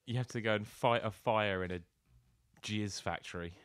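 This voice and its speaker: A man's voice: on the low side, normal speed, clear, resonant tone. A British man co-hosting a podcast, tossing in casual, jokey, sweary reactions. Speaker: podcast co-host. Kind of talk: casual banter, short reactions.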